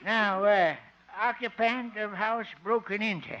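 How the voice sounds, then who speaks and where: An actor playing a slow-witted small-town deputy in a 1950s radio comedy, drawling and deadpan. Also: male voice, high-pitched. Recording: dull restored 1950 radio recording.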